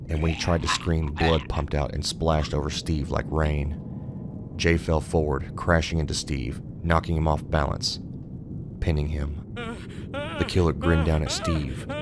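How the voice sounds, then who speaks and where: A man's voice: rumbling low, very noisy. A man reading a suspense horror story as scripted narration.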